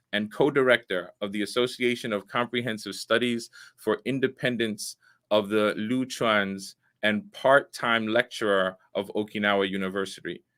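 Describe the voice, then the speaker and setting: Earnest male host in his forties, lowish voice with a sharp bright edge, measured delivery full of pauses. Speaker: show host, veteran. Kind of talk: hosting a live online talk show.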